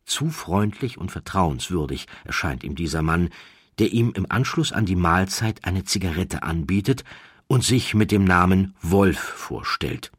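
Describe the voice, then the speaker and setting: Male audiobook narrator, very low husky voice, measured literary delivery. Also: animated, dry recording, clean studio sound.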